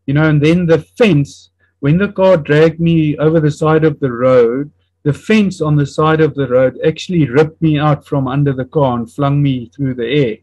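A man in his fifties whose first language is Afrikaans, speaking with an Afrikaans accent and earnestly recounting a personal testimony in a halting way, often searching for words and restarting. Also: a little high, steady tone, darkish tone, dry recording, rough recording. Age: 50s. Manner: earnest and reflective. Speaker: interview guest.

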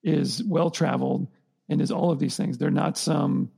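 A man's voice: on the high side, steady tone, moderate pace, gravelly, warm tone, breathy edge. A man co-hosting a podcast, thinking aloud in long rambling stretches and often restarting his sentences. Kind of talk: conversational podcast discussion.

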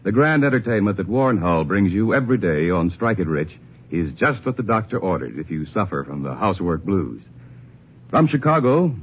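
A man's voice: lowish voice, slightly rough. A male network announcer reading an upbeat 1950s promo for daytime radio programs. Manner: upbeat, sing-song sales delivery. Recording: muffled old radio broadcast.

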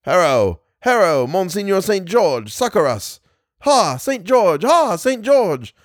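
A man's voice: high voice, sing-song, slow pace, no room sound, studio quality, pitched above the performer's own voice, full character voice. A man voicing a ghostly host of medieval English bowmen in a storybook, calling out fervent, archaic battle prayers. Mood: exultant, imploring. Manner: fervent archaic war cries. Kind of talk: dramatised storybook reading.

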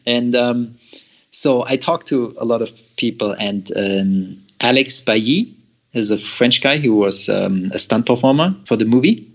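A young man from Germany, a guest telling a long personal story with halting, repeated words and frequent laughs. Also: medium pitch, dull audio. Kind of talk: storytelling in an interview.

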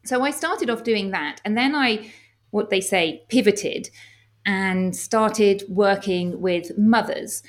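A woman's voice: medium pitch, slow. English lady on a podcast, middle-aged, upbeat, explains stuff in long chatty answers. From England. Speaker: guest, former pediatric doctor. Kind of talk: podcast interview.

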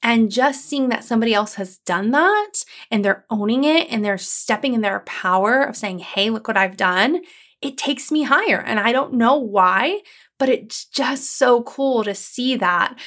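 A woman hosting her own podcast as a coach, talking to listeners in an upbeat, encouraging, conversational way with a calming voice. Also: fairly high pitch, measured pace. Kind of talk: solo podcast episode.

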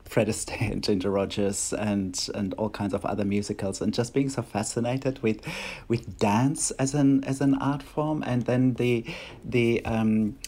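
Husky German-accented man, halting speech. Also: fairly low pitch, steady tone, warm tone. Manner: hesitant, many restarts and fillers. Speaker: guest choreographer and dancer. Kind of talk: casual interview chat.

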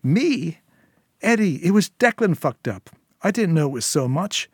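Brief: man voicing scared young woman begging, pitch pushed up high, dry booth sound. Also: sing-song, unhurried, slightly rough, no room sound, heavily acted. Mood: pleading. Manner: pleading, strained. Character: panicked young woman pleading.

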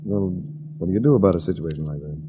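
An actor playing a cool, wry insurance investigator in a 1940s radio drama, with dry, understated, clipped delivery. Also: male voice, sits low, fast talker, noisy background, close to an everyday voice. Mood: probing, wry. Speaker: lead actor and narrator. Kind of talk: 1940s radio detective acting.

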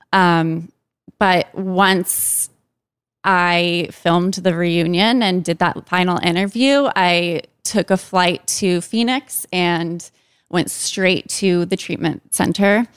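A woman being interviewed about her own life, speaking candidly and hesitantly, with frequent pauses and ums. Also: on the low side, clear, resonant tone, no room sound, studio quality. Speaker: interview guest.

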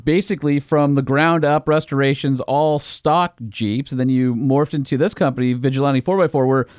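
A man's voice: medium pitch, steady tone, normal speed, smooth, dry recording, studio quality. A man hosting a truck podcast, asking questions with enthusiasm and frequent hesitations. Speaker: podcast host. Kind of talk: casual interview talk.

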